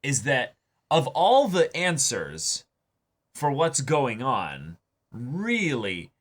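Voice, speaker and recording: A man's voice: medium pitch, wildly swooping pitch, extremely slow. A man chatting casually as he hosts a reaction show, talking emphatically and swearing freely. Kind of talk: casual discussion and commentary of an anime episode.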